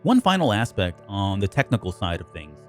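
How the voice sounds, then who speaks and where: A man hosting a podcast, narrating a scripted review with polished, measured phrasing. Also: fairly low pitch, big pitch swings, darkish tone, faint music behind.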